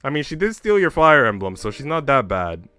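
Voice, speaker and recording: A man's voice: mid-range voice, very animated, fairly quick, bright, forceful tone, clean tone, no echo. A man hosting a livestream, talking casually and candidly to his viewers in a chatty way with some casual swearing. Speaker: livestream host. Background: background music.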